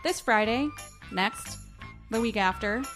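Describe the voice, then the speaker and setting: A woman's voice: on the high side, animated, slow, music in the background. A woman reading the part of an awkward, earnest nerdy man in an amateur dramatic reading of a novel, stilted and over-literal.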